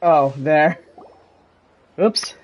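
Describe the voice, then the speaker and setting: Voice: medium pitch, drawn-out words, darkish tone. A game streamer giving casual, offhand commentary while playing.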